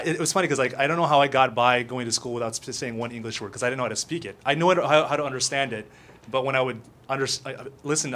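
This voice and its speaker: A young man whose first language is Filipino, telling his personal story at a speaker panel with many fillers and restarts. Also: medium pitch. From United States. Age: young adult.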